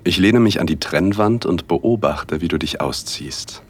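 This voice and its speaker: A man narrating a first-person erotic audio drama in a hushed, intimate, unhurried way, with a husky voice. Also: sits low, animated. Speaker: narrator and male lead.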